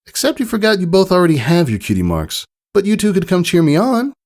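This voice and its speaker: A man voicing a plucky young filly pegasus in a storybook reading, excitable and earnest. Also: sits high, fast talker, voice pushed up. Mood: cheerful.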